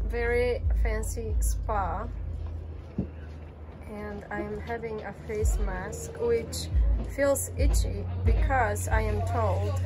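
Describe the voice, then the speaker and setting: A woman's voice: fairly high pitch, poor audio. A woman narrating a casual travel vlog in a wry, playful way, outdoors on a sailboat. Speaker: sailing vlogger. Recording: loud wind and boat noise. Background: wind, water and boat noise.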